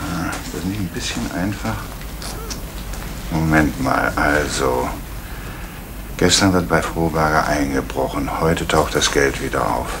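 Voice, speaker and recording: A deep-voiced probing police inspector. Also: male voice, measured pace, long pauses. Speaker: police detective Fuchs. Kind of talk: 1980s East German radio crime drama. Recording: noisy old radio play recording.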